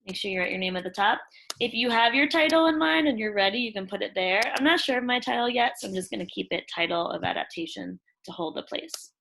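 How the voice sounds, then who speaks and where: A woman's voice: mid-range voice, expressive, fast talker, vocal fry, a bit bright, a little hiss or hum, rough recording. A woman teacher walking pupils through a screen-share tutorial, instructing them informally step by step. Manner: instructional, addresses children informally as "you guys". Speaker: elementary school teacher.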